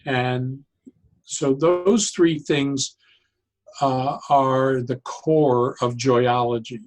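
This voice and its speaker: A man speaking as an interview guest, explaining his ideas conversationally in a deliberate, halting way and repeating key phrases. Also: medium pitch, steady tone, darkish tone, low-quality recording. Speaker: interview guest, psychologist and joyologist.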